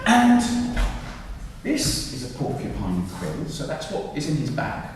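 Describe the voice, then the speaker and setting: A man telling a story live to an audience of children and adults, animated and dramatic, putting questions to his listeners. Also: fairly high pitch, unhurried. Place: reverberant room with a live audience. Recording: echoey hall, distant mic, noisy.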